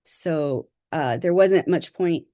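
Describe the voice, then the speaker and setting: Low-voiced woman author on a video call. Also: dry recording, studio quality. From Southern California. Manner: modest, halting, with fillers and soft laughs. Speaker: author presenting a workshop. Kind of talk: self-introduction and talk on a video call.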